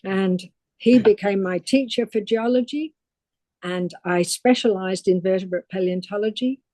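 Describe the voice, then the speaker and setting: An older woman from England, recounting her career as a guest on a podcast interview in a measured storytelling way. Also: mid-range voice, mellow, no echo. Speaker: guest paleontologist.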